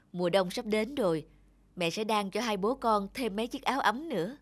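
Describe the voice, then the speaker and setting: A woman voicing a gentle, caring village mother in a fairy-tale cartoon. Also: mid-range voice, moderate pace, soft background music, lightly acted. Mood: tender. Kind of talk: animated fairy-tale dubbing.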